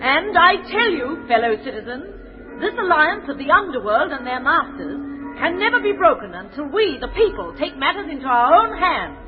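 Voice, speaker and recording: A woman's voice: high voice, big pitch swings, normal speed, music in the background. A woman playing a righteous civic crusader in an old radio drama, declaiming an indignant political speech. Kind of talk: old-time radio acting. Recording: tinny old radio broadcast.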